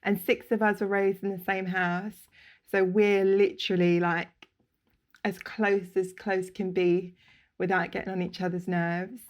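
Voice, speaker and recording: A woman, telling her story as a podcast guest, open and quick to laugh, speaking over a video call. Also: mid-range voice, little pitch movement. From England. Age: 30s. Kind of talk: casual interview chat. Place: home room, indoors.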